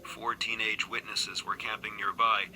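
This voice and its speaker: An actor playing a grim, haunted police investigator, giving a grave, measured case briefing in a video game. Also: male voice, fairly low pitch, flat delivery, sharp tone, slight background noise, rough recording. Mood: grave, factual.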